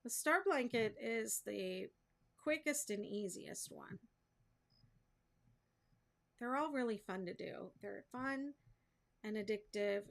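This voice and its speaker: A woman's voice: mid-range voice, creaky, fairly bright tone. A woman chatting casually and informally, thinking aloud in a self-deprecating way as she hosts a crafting livestream. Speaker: crochet livestream host. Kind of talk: casual rambling chat with viewers while crafting.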